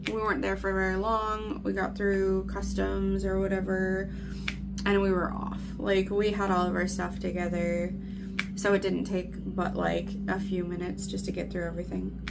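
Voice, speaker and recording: A woman's voice: mid-range voice, level tone, moderate pace, gravelly, over loud music. A woman in her thirties chatting casually and telling a rambling, meandering story with lots of filler phrases, like a vlogger catching up with viewers. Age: 30s. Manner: chatty, meandering, frequent "like" and "you know". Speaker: craft vlogger.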